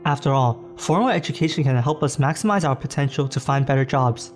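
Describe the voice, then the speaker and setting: A man reading a scripted narration in a smooth, even delivery. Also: medium pitch, fairly quick, soft background music.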